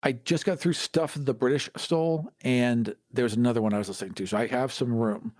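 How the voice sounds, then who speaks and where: A man's voice: mid-range voice, steady tone, fairly quick, a little mellow, no echo, clean studio sound. A man co-hosting a podcast, chatting casually and playfully about tabletop games in a conversational way. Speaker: podcast host.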